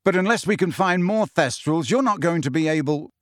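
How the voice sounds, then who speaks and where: A tense, impatient teenage boy hero in a storybook, acted by a man. He speaks curtly and with exasperation, in a voice pitched up from the reader's own. The sound is dry. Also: lively intonation, fairly quick, no echo, lightly acted. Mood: curt, resigned. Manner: curt, exasperated. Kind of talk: audiobook character voice.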